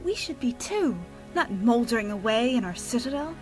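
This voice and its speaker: A woman playing a spirited, defiant young time lady artist with a pointed, expressive delivery in an audio drama. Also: on the high side, normal speed, close to an everyday voice, rough recording. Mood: passionate. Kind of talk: audio drama character dialogue. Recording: music behind the voice.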